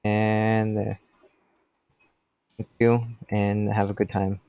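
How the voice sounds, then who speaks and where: A man's voice: on the low side, flat delivery, slow pace, mellow, clean tone, no echo, highs cut off. A teenage boy with a stuffy cold voice, rambling casually as he comments on his gameplay. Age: teens. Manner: chatty, rambling, talks to customers.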